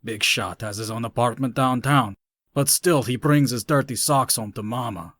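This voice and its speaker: A man's voice: medium pitch, normal speed, a bit bright, no room sound, close to an everyday voice. A man playing a grumbling, judgmental older neighbourhood gossip in a comic dub. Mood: disapproving.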